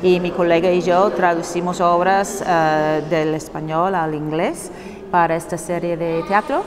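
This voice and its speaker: A woman from New York, a Spanish professor giving a clear, orderly interview answer. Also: lowish voice, fairly even intonation, a little slow, in a large hall, a crowd in the background. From New York, USA. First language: English.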